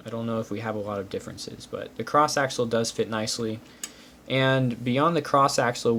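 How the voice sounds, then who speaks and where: A man hosting a casual hands-on walkthrough, explaining small details methodically. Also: fairly low pitch. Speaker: host reviewing building-brick pieces. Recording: clear steady background noise.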